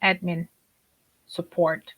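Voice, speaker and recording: A woman's voice: on the low side, little pitch movement, slow, fairly bright tone. A woman in her forties, raised in Germany with Turkish as her first language, chatting as a podcast guest and laughing often. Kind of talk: conversational interview.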